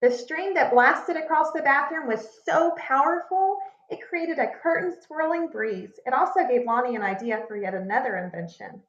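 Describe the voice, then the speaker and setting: Echoey high-voiced woman reading a storybook. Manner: expressive, deliberate storytelling with emphasis. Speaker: read-aloud narrator, teacher. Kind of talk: reading a children's picture book aloud. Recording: echoey room, slightly muffled sound.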